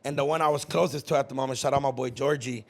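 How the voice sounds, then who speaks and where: A young man from California hosting a casual podcast chat, animated and teasing, swearing freely. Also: medium pitch, gravelly. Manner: animated, swearing, quick to tease. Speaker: podcast host.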